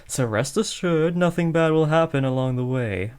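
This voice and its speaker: A man's voice: a little high, lively intonation, moderate pace, a little mellow, smooth, close to an everyday voice. A young man acting an awkward, earnest teenage boy who is trying to be a supervillain, hesitant and often correcting himself, in a comedic audio roleplay. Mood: awkwardly reassuring.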